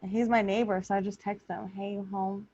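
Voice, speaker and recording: A woman's voice: mid-range voice, flat delivery, a little fast, warm tone, no room sound. A woman in a casual podcast chat, talking in a blunt, slangy way. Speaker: podcast cast member. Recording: slightly muffled, lo-fi audio.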